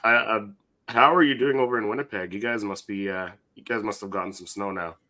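A man from Canada co-hosting a casual podcast chat, easygoing and chatty, a little hoarse from a lingering cough. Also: lowish voice, a little fast, bright, forceful tone. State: losing his voice from a cough. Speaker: podcast co-host.

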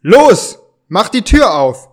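A man playing a sly, mock-stern gentleman who interrogates intruders in an amateur audio drama, in a halting, drawn-out way. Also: sits high, very animated, full character voice. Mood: menacing.

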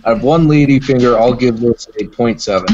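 A man chatting casually as a podcast guest on a video call, in a dry, deadpan manner. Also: mid-range voice, a little fast. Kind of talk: casual chat. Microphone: remote video call. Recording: dull video-call audio with faint noise.

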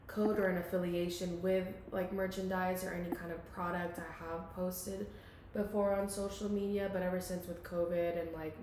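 A woman's voice: fairly low pitch, darkish tone. A woman in her twenties from the Philippines, chatting casually as a guest and filling her talk with "like.". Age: 20s. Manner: chatty, many "like" fillers, laughs often. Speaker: guest, student and local model. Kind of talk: casual chat. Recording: very echoey room, faint hiss.

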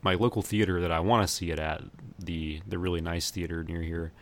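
A man's voice: sits low, fairly even intonation, fairly quick. A man co-hosting a podcast, chatting casually about films in a laid-back, slightly hesitant way. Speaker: podcast co-host.

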